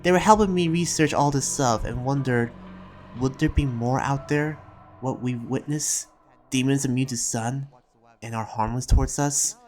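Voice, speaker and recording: A man's voice: medium pitch, moderate pace, lightly acted. Man playing an earnest, reflective demon slayer over music. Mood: confiding. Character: earnest demon slayer researcher writing in his journal. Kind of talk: dramatic roleplay monologue, read as a journal. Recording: music behind the voice.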